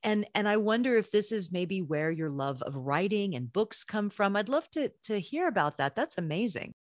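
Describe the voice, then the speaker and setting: A woman's voice: mid-range voice, big pitch swings, moderate pace, no room sound, clean studio sound. A woman hosting a podcast, encouraging her guest and asking questions in a casual interview. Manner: warm encouraging interviewer, frequent affirmations. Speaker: podcast host.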